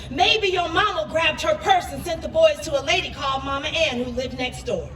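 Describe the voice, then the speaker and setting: Woman doing live slam poetry, high voice, deliberate delivery, echoey and kinda noisy. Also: a bit bright. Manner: emphatic, deliberate, with repeated phrases. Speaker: spoken word poet. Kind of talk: dramatic spoken-word poetry performed live. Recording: echoey, noisy live venue, distant mic.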